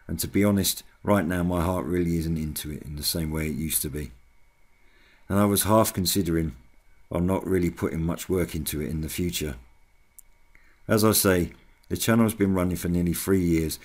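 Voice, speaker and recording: A man's voice: low voice, darkish tone. A British man hosting a hobby video channel, giving a scripted voice-over update to his viewers.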